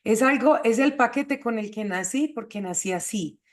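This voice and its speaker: A woman from Colombia with a fairly high voice. She explains things in a didactic, conversational way, often posing a short question before giving the answer. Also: normal speed. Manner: didactic, checks with rhetorical questions. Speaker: host of an astrology show.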